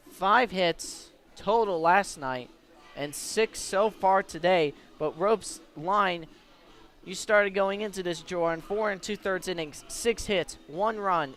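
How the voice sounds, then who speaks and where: A man with a notably high voice calls baseball live for radio. He works as the play-by-play announcer, keeping his calls measured and pushing them emphatically when the action picks up. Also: a bit bright. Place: at a baseball game.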